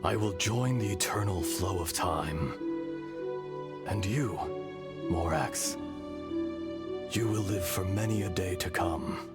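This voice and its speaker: An actor playing an ancient, dying male earth dragon spirit in a game, speaking slowly and gravely over loud music. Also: sits low. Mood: solemn. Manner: slow, grave, measured. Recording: studio voice with loud game score. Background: loud orchestral music.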